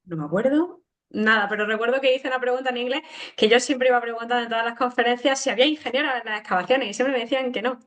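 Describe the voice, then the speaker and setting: A woman from Granada in her thirties with an Andalusian accent, interviewing a guest in a relaxed, chatty way and laughing easily. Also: on the high side, fast, lo-fi sound. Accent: Andalusian Spanish. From Granada, Spain. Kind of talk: casual interview chat.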